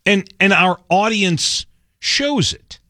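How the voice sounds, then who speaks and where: A man's voice: high voice, animated, slow pace, sharp tone, no room sound, studio quality. Sarcastic male talk radio host. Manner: sarcastic, mocking quotes. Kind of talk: conservative talk radio monologue. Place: radio studio. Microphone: studio mic.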